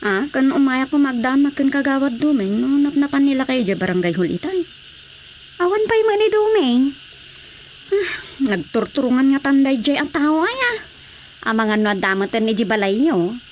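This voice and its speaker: High-voiced scolding, anxious Ilocano woman. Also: sing-song, normal speed. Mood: worried. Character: anxious, scolding woman fussing over an ailing drinker. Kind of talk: radio drama acting. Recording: faint background noise.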